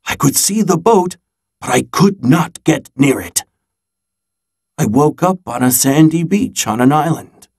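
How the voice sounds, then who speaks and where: A man reads as an old grandfather in a children's storybook, recounting a seafaring adventure. The voice is husky and slow, with dramatic, suspenseful pauses. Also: a little high, expressive, darkish tone, full character voice.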